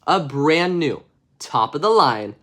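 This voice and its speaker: Man reading a bedtime story, very animated. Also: on the high side, slow. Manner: expressive, chatty, ad-libbing around the text. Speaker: storybook reader. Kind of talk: animated bedtime story narration with casual asides.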